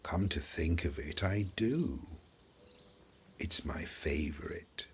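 An actor playing a forgetful, childlike, playful elderly husband in an audio play, halting and deliberate in his speech. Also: extremely low pitch, expressive, slightly rough, full character voice. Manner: halting, deliberate, spelling words out slowly.

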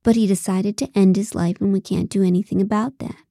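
A woman's voice: mid-range voice, steady tone, brisk, gravelly, warm tone, dry recording. A woman plays a controlling female TV producer in an audiobook. She talks in a clipped, tight, sarcastic way, slightly raised over the narration, in a dry recording. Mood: brisk, formal.

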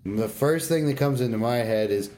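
Man, casual gaming chat, warm relaxed timbre, slangy, effusive, podcast co-host. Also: medium pitch, moderate pace, slight background noise.

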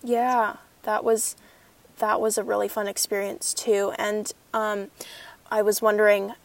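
A young woman interviewing a friend, asking questions hesitantly with many pauses and fillers. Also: mid-range voice, flat delivery, vocal fry.

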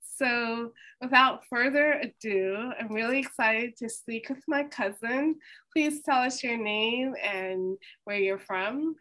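A woman hosts an oral history interview with a bright, ringing voice. She speaks in a slow, measured way. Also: fairly high pitch, low-quality recording. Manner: slow, measured, scripted-sounding introduction. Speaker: host.

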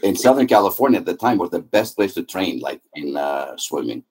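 A man's voice: low-pitched, very animated, brisk, darkish tone, slightly rough. A middle-aged man from Greece, a guest on an interview podcast, answering in a friendly, talkative way with frequent fillers. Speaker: guest, happiness author and speaker.

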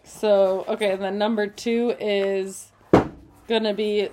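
A woman's voice: mid-range voice, flat delivery, very noisy. Bubbly, laughing young woman co-host. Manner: bubbly, laughs often, quick gasps of surprise. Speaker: podcast co-host. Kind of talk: casual couple's chat.

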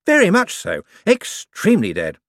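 A jittery wizard bureaucrat, voiced by a male audiobook reader, who squeaks well above his normal register. His flustered, over-explaining voice leaps around wildly in pitch. The close recording is bone-dry. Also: wildly swooping pitch, normal speed, a bit bright, no echo, exaggerated voice. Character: nervous, evasive male wizard bureaucrat, middle-aged. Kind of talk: audiobook character voice.

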